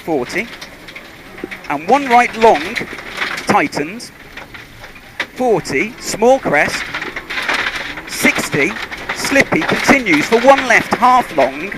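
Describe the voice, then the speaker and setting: A man's voice: high voice, lively intonation, slow pace, pauses often. A man working as a rally co-driver, reading pace notes in clipped, urgent bursts over a car intercom. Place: inside a rally car on a forest stage. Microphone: helmet intercom headset. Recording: car intercom with loud engine and vehicle noise.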